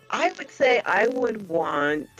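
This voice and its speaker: Slow, gravelly, lively-voiced woman. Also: mid-range voice, no echo. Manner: laughs often, hesitant, self-deprecating. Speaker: podcast guest, online story narrator. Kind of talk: casual chat. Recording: muffled lo-fi stream audio with faint music.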